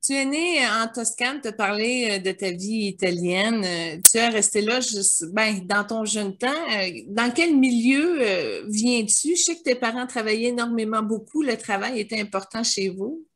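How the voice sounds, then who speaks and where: A woman's voice: a little high, normal speed, hardly any pauses. A woman hosting an interview, asking questions in an admiring tone with frequent fillers. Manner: warm and admiring, with many fillers. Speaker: interview host.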